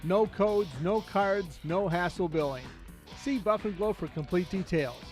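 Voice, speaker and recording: A man's voice: high-pitched, a little slow, a little mellow, faint music behind. An American man reading scripted radio advertisements as a commercial announcer.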